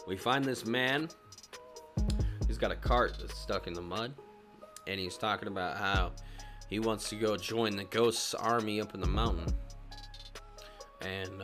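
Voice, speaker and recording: Low-voiced man, casual and sweary. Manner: laid-back, slangy, swears freely. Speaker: gaming video host. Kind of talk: casual game story recap commentary. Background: music behind the voice.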